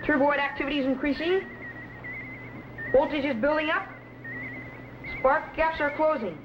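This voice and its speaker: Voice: high-pitched, fairly even intonation, moderate pace, close to an everyday voice. A young performer playing an eager, obedient boy lab assistant in a 1950s science fiction serial, reporting earnestly. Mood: alert, dutiful. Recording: muffled, noisy vintage serial soundtrack.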